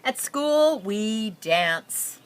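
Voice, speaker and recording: A woman reading a picture book aloud, telling the story and pausing with simple explanatory asides for young listeners. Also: a little high, lively intonation, slight background noise, low-quality recording.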